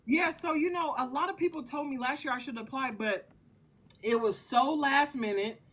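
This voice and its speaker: A woman's voice: sits high, normal speed, fairly bright tone. A woman in her thirties, a guest in an interview, talking at length in an earnest, rambling way. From Louisiana family. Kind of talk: casual interview chat.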